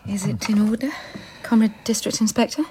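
A woman's voice: mid-range voice, fairly even intonation, brisk, dark tone, a little hiss or hum, close to an everyday voice. An actress in a radio drama playing an anguished, bitter and volatile Soviet woman in love. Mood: polite, anxious.

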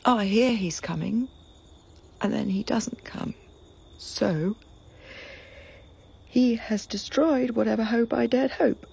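An actress playing a grieving, vengeful young princess in a classical tragedy, giving an impassioned, bitter lament that sometimes drops to a whisper. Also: female voice, on the high side, slow, creaky, pauses often, dark tone, slightly rough, exaggerated voice. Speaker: stage actor. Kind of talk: classical tragedy stage acting.

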